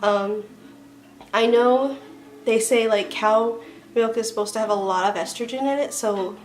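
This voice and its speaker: A woman's voice: a little high, unhurried. A young woman in her twenties talking casually to camera from her bedroom, a bit stuffy from a cold, rambling with lots of fillers and restarts. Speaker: vlogger. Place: small bedroom with string lights, indoors.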